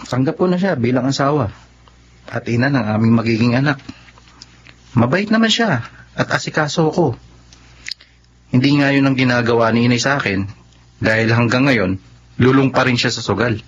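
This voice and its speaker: A man's voice: medium pitch, lively intonation, moderate pace, pauses often, natural voice. A man with a Filipino accent playing an earnest, wounded young husband in a radio drama. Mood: resentful, sober. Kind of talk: Tagalog radio drama acting. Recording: dull lo-fi radio, faint noise.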